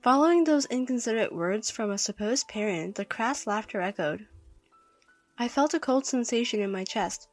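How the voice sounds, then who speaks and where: A woman's voice: on the high side, animated, moderate pace, faint music behind. A woman reading a scripted first-person story as narrator.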